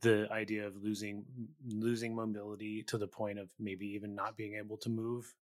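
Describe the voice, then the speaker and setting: A man's voice: lowish voice, flat delivery, moderate pace, dry recording. Reflective man host, dry close sound. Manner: reflective, searching for words. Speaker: podcast host. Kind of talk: podcast interview conversation.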